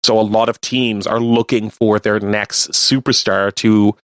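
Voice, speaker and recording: A man co-hosting a radio show, chatting casually about sports and explaining things in a halting way. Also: fairly low pitch, level tone, no echo, studio quality. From Canada. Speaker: radio co-host.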